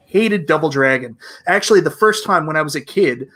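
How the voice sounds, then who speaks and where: A man's voice: on the high side, expressive, brisk, sharp tone. A man chatting casually on an online panel, giving blunt, emphatic opinions. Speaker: panelist on an online video game show.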